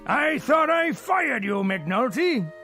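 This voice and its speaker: An actor playing a domineering, exasperated company boss, stern and sarcastic, in a radio drama. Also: male voice, extremely high pitch, big pitch swings, slow pace, music in the background, exaggerated voice.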